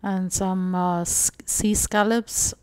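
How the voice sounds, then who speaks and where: A woman from India narrating a casual shopping walkthrough vlog, calm and explanatory with frequent pauses and fillers. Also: mid-range voice, smooth, no echo. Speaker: vlogger.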